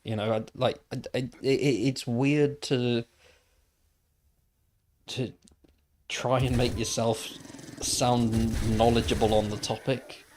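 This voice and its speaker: A man's voice: mid-range voice, steady tone, slow, long pauses, a little mellow, a little hiss or hum. Man musing aloud while gaming. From Wales. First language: Welsh. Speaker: gaming livestreamer. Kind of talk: casual chat while playing.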